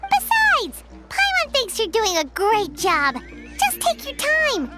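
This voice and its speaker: A woman voicing a tiny, childlike, cheerful and excitable floating fairy companion in a video game, full of exclamations. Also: very high voice, slow pace, exaggerated voice. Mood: encouraging. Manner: speaks of herself in third person, exclamatory. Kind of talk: animated video game voice acting. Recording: loud music behind the voice.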